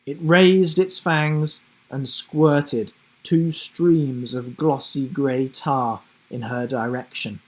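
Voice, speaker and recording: A man's voice: medium pitch, steady tone, slow pace, darkish tone, rough recording. A man reading a children's adventure story aloud with dramatic, theatrical delivery. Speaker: story reader. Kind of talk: dramatic scripted storybook narration.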